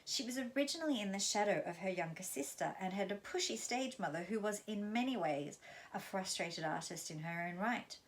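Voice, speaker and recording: A woman from London, reading her own memoir aloud in an expressive storytelling way. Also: lowish voice. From London, England.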